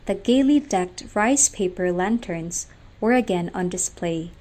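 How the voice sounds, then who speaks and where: Slow, mellow young Filipino woman narrator. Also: fairly low pitch, expressive. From Philippines. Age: young adult. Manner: measured, solemn storytelling. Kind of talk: scripted literary narration.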